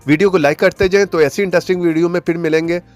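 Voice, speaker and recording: A man's voice: high voice, fairly even intonation, very fast, darkish tone. A man hosting a how-to tutorial, explaining an app step by step with repeated stock filler phrases. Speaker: tutorial channel host. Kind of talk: scripted-sounding how-to app tutorial narration.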